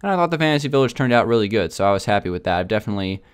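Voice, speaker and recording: A man's voice: on the low side, big pitch swings, rapid-fire, smooth. A man chatting casually to his viewers in a gaming video, rambling a little and often repeating himself. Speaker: video game YouTuber.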